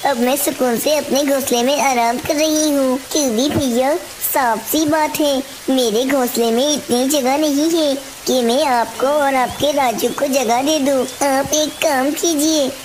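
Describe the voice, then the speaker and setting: A woman voicing a caring, hardworking mother bird in a cartoon story, with exclamatory, expressive delivery. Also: high voice, unhurried, hardly any pauses. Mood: calm, firm. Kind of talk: Hindi cartoon story dialogue. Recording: hissy, clearly noisy background.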